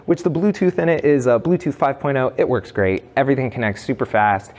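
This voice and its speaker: A man's voice: medium pitch, a little mellow. A man presenting a product review in a conversational, semi-scripted way, with an enthusiastic, emphatic delivery.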